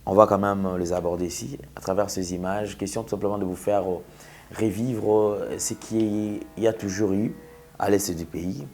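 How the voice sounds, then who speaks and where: A man's voice: on the low side, fairly even intonation, normal speed, darkish tone. A man with a Congolese accent hosting a TV talk show, speaking in an opinionated monologue with rhetorical questions and emphatic repetition. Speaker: TV host.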